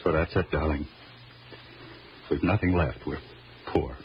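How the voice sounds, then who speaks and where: An actor playing a patient, loving middle-aged father and husband, earnest and halting, in old-time radio drama style. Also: very deep voice, fairly even intonation, loud music behind the voice. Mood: weary, insistent. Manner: earnest, gently reasoning, halting. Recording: dull old radio recording, faint noise.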